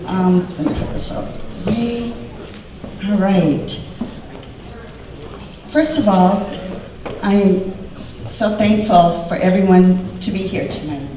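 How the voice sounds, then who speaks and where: A woman hosting a community event and speaking loudly to an audience, chatty and rambling, with many fillers. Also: medium pitch, slow, long pauses. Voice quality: loud voice. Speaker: event host and diver. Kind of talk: casual public speech to an audience. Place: large echoey hall with an audience. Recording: echoey hall, voice from far off, faint noise.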